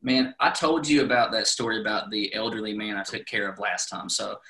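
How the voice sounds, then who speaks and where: A man's voice: on the low side, steady tone, a little fast, pushed and bright, poor audio. A man hosting a casual podcast, laid-back and slangy, calling people dude. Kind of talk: casual chat.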